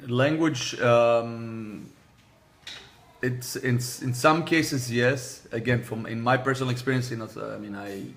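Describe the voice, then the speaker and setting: A man's voice: mid-range voice, steady tone, unhurried. A man from Israel whose first language is Hebrew, answering interview questions as a car sales manager, hesitant and full of restarts and fillers.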